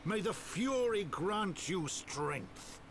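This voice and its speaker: A man's voice: high voice, expressive, slow, creaky, heavily acted. An actor voicing a dignified, bereaved noble count in a game, stately and earnest in his solemn devotion. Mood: solemn blessing. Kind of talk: dramatic game voice acting. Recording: noisy game stream capture.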